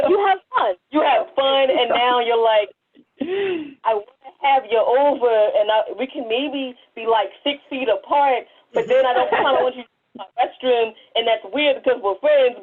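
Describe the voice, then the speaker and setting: A woman's voice: on the high side, narrow, tinny audio. A woman chatting casually and animatedly, slipping into slangy acted-out dialogue with friends. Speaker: podcast host. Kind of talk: casual group chat.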